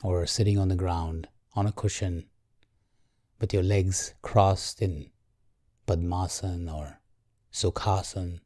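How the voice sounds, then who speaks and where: Low male voice, mellow tone, unhurried guided meditation, gentle delivery, dry close sound. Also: no room sound. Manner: calm, gentle, measured with long pauses. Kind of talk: guided meditation, slow and soothing.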